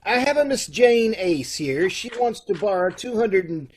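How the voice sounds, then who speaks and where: A man's voice: high-pitched, animated, normal speed, slight background noise. A man playing a flustered middle-aged loan officer in an old-time radio comedy, repeating himself, stammering and laughing in exasperation, heard over a video call. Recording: distant, uneven video call audio.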